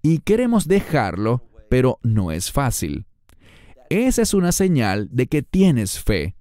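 A man's voice: medium pitch, wildly swooping pitch, slow, mellow, dry recording. A man preaching a sermon with emphatic stress, his voice climbing and dropping to press each point.

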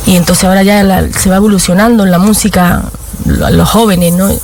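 A woman's voice: medium pitch, fairly even intonation, brisk, darkish tone, a little hiss or hum. Andalusian woman singer, loose laughing chat. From Granada, Spain. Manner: laughs often, speaks in short loose fragments. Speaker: flamenco singer. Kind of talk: casual interview chat.

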